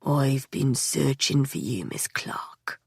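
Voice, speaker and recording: A woman voicing an arrogant, menacing man who leads a gang, speaking in a slow, low drawl. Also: fairly even intonation, a little mellow, husky, dry recording, studio quality, exaggerated voice.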